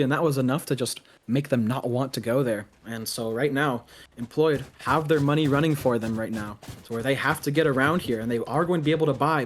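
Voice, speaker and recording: A man giving live play-by-play commentary on a video game match, excitable on big plays and analytical between them. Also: mid-range voice, slight background noise.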